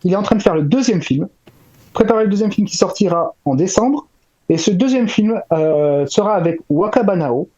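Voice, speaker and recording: Muffled, low-fidelity audio carries a man with a high, mellow voice. He is a panelist on a chat show, passing on news in an informal way. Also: expressive, normal speed, husky. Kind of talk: casual chat, relaying news.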